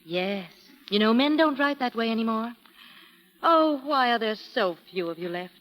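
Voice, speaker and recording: A woman's voice: on the high side, lively intonation, fairly bright tone. Coy, sly showgirl, lilting and reverberant. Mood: coy, flattering. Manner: coy, teasing, drawn-out phrases. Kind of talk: 1940s radio acting. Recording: reverberant 1940s radio broadcast.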